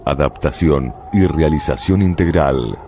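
Male radio announcer, rock-bottom pitch, unhurried pace, scripted credits reading. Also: dark tone, slight background noise.

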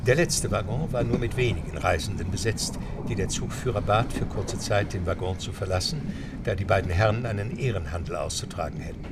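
A man's voice: low-pitched, fairly quick, heavy background noise. A man narrating a scripted adventure story as the narrator of an audio drama.

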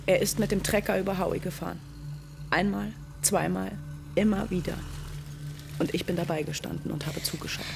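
A woman's voice: on the low side, fairly even intonation, fairly quick, vocal fry, music in the background. An actress narrating as a detached woman recalling her childhood in a radio drama. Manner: cool, detached. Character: cool adult woman narrating her past.

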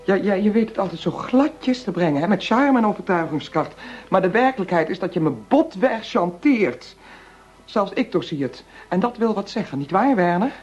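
An actress playing a police chief's middle-aged wife in a radio play, nervous and cynical, speaking in flustered, halting bursts. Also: female voice, mid-range voice, lively intonation, darkish tone, slightly rough. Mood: sardonic, anxious. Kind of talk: Dutch radio play acting. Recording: dull lo-fi radio play recording.

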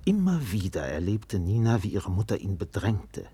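Deep, husky male radio play narrator. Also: very animated, moderate pace, warm tone, no echo.

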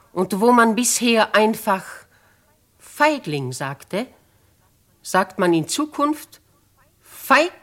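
An actress with diction playing a shrewd, kindly elderly noblewoman in a 1950s radio drama, deliberate and theatrical. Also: medium pitch, a bit bright, full character voice. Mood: scornful, biting.

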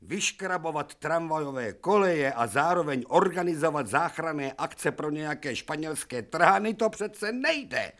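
An actor playing an imperious, irascible older professor, declaiming sarcastic rhetorical questions in a theatrical radio drama. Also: male voice, fairly high pitch, animated, hardly any pauses, a bit bright. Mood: outraged. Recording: music faintly behind the voice.

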